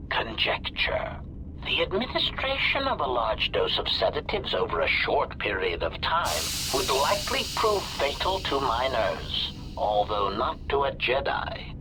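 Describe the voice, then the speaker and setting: Smug robotic protocol droid, swooping intonation. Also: male voice, mid-range voice, huge pitch swings, a little slow, noisy background. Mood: smug, evasive. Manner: formal, pedantic, labels statements before saying them. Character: polite, sinister protocol droid, smugly murderous servant. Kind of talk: scripted video game dialogue.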